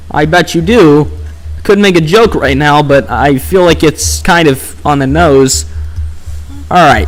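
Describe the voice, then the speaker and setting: A man's voice: on the high side, low-quality recording. A man streaming a video game, chatting casually and scolding the game as he plays. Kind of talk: casual gameplay commentary.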